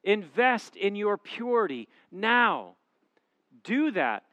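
A man's voice: sits high, sing-song, slow pace, a bit bright, dry recording. A male pastor preaching a sermon to a congregation, with an emphatic, repetitive preaching cadence. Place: church auditorium before a live congregation.

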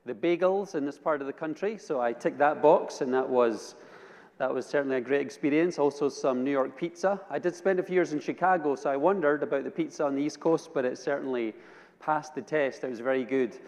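A man's voice: a little high, brisk, darkish tone. A Scottish man giving a personal testimony sermon as a guest preacher, telling it conversationally with dry humor. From Edinburgh, Scotland. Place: large indoor church or hall. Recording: reverberant hall, long echo.

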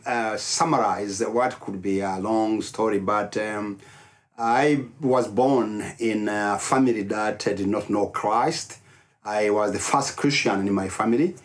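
Low-voiced Rwandan man telling his story. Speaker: interview guest, ministry leader. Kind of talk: personal storytelling in an interview.

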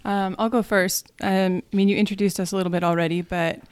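A woman's voice: medium pitch, fairly even intonation, fast talker, smooth, dry recording. A young adult woman speaking casually and a little tentatively as a podcast guest. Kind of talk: casual, modest conversational talk.

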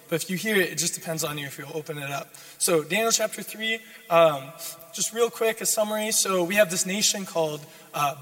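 Young guy preaching with a hoarse voice, pitched high, getting louder and more intense. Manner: preaching with rising intensity, repeats key lines. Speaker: guest preacher. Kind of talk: sermon. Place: church sanctuary. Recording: long church reverb.

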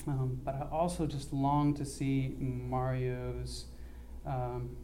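Young man, earnest and halting, mellow dark tone, light hiss behind him; plain church-talk delivery. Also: mid-range voice, completely flat delivery. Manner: earnest, halting with many ums. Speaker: mission trip speaker. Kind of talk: church mission report, casual.

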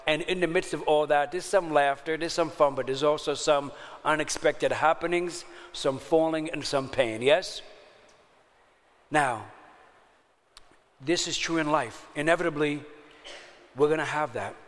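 Conversational pastor. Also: male voice, on the high side, measured pace, long pauses, big-room sound. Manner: conversational, checks in with the audience often. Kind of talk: sermon.

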